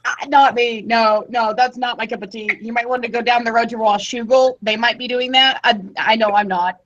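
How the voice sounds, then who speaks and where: A woman in her thirties co-hosting a casual podcast, playful and quick to laugh. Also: on the high side, steady tone, fairly bright tone, muffled recording. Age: 30s. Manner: playful, exclaiming, laughs often. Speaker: podcast co-host. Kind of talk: casual comedic chat.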